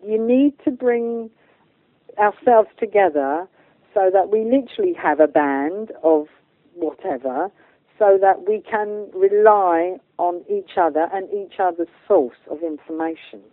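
Woman, medium pitch, earnest and emphatic, colloquial interview guest, narrow phone-like sound, dry. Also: slow, dry recording. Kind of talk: informal spiritual interview talk.

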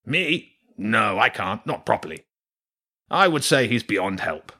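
A man voicing a huge, grumpy, lazy old badger king in a storybook reading, speaking slowly and gruffly with a husky voice. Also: on the low side, big pitch swings, pushed and bright, heavily acted. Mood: grave. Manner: slow, grumbling, gruff.

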